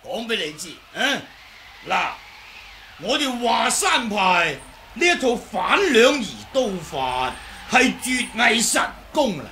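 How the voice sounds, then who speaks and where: Radio drama role: quarrelsome old kung fu master, very high bright voice, swooping, Hong Kong Cantonese. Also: male voice, very animated, takes it slowly, heavily acted. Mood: boastful. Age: older. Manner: wheedling, argumentative, exclaiming and chuckling. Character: cheeky, blustering old male martial artist, quarrelsome sore loser. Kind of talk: 1980s radio drama acting. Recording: noisy old 1980s radio recording.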